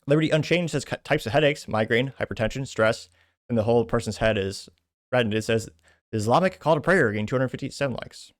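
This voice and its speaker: A man's voice: mid-range voice, quick pace, no room sound. A man hosts a casual commentary show in a dry, close-sounding recording. He reads comments aloud in a conversational way, drifting into rambling asides and correcting himself as he goes. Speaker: host.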